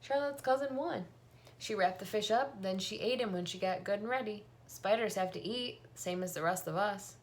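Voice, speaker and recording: A woman's voice: mid-range voice, moderate pace, pitched above the performer's own voice, natural voice. A woman reading the part of an earnest, eager eight-year-old farm girl in a storybook, matter-of-fact and insistent.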